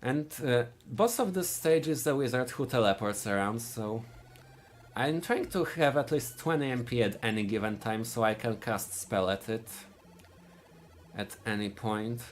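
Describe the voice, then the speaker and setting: Polish guy talking through his speedrun, halting and restarting a lot, bit of game music under him. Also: medium pitch. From Poland. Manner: halting, searching for words, frequent restarts. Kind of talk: live speedrun commentary.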